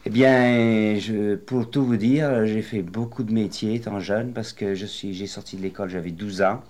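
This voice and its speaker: A retired man in his late sixties from western France, telling his life story in an interview, rambling and hesitant with many pauses. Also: fairly low pitch, steady tone, darkish tone. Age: 60s. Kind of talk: oral history interview.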